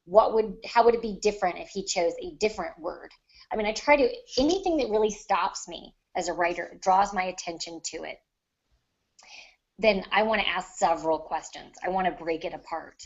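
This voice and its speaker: A woman's voice: lowish voice, normal speed, dull audio. A woman on a podcast panel talking casually and reflectively about her craft, explaining things at length. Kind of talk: casual discussion of writing craft.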